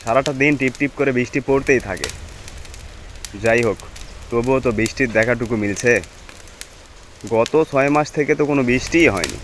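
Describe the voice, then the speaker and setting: Male actor as village husband, mid-pitched, cartoon ghost-story drama, homely storytelling manner, noisy rainy recording. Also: fairly quick, long pauses, fairly bright tone, natural voice. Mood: homely, chatty. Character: frightened, brooding village husband in a ghost tale. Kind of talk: Bengali cartoon story acting. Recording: noisy, rain and ambience behind voice.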